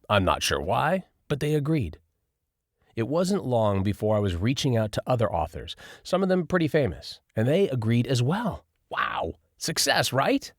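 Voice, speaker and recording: A man narrating a first-person nonfiction audiobook in an expressive, lively reading style. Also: medium pitch, no echo, clean studio sound.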